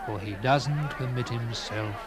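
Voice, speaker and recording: A man reading a classic literary story in a dramatic first-person style, with theatrical, deliberate phrasing and swelling emphasis. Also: medium pitch, over music, crowd noise. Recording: clearly noisy with background ambience.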